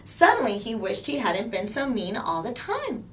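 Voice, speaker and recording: A woman narrating a children's picture book expressively, with dramatic pauses and emphasis. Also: fairly high pitch, huge pitch swings, a little hiss or hum. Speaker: storyteller.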